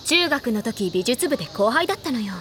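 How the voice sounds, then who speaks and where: A woman's voice: fairly high pitch, sing-song, a little fast, fairly bright tone, slight background noise. An actress playing a smug teenage girl who teases the others in a knowing tone. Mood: teasing. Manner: teasing, smug. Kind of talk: drama CD acting. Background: music.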